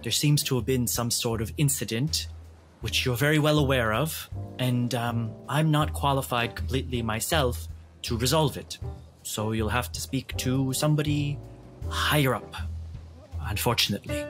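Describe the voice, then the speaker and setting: A man voicing a flustered, overly polite ship's steward in a tabletop roleplay, halting, formal and apologetic. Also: mid-range voice, faint music behind, close to an everyday voice. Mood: apologetic, officious.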